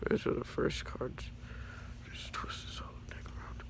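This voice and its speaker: A teenage boy with a raspy voice from being sick, casually showing his trading cards and stumbling over their names. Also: moderate pace, in a whisper. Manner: stumbles over card names, apologetic and rambling. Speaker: YouTuber showing his card collection. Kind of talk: casual card showcase. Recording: low-quality home audio with faint background noise.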